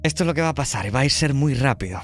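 A man running a tabletop horror game as game master, laying out options in a measured way and checking in often. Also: mid-range voice, animated, faint music behind.

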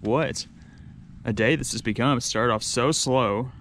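A man in his thirties filming himself fishing from a small boat in a swamp, chatting excitedly, laughing and repeating himself as fish bite. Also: medium pitch, unhurried, slight background noise. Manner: excitable, laughs often, repeats words when hooked up. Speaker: fishing vlogger. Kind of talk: excited outdoor fishing commentary. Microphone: action camera mounted on the boat.

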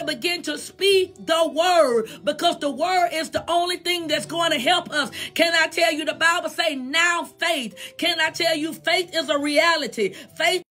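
An American woman delivers a sermon in a high-pitched voice with a sharp, bright edge. She is emphatic and exhorting, pressing her points home with repeated refrains. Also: a little slow, hardly any pauses. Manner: emphatic, urging, repetitive refrains. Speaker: preacher.

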